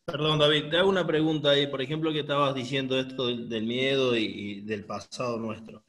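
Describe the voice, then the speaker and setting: A man's voice: medium pitch, fairly even intonation, brisk, fairly bright tone. A man taking part in a group session, putting questions in a casual, conversational way. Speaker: seminar participant.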